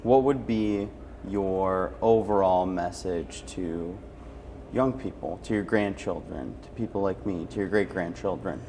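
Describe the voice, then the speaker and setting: A man's voice: low-pitched, measured pace, dark tone, a little hiss or hum. A man hosting a friendly conversational interview in an encouraging, affirming manner. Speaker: interviewer and host.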